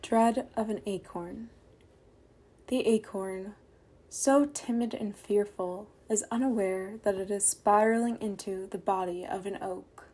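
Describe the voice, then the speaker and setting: A woman poet reading her work aloud in a soft, quiet, measured voice over a video call from home. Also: medium pitch, steady tone. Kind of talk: poetry reading.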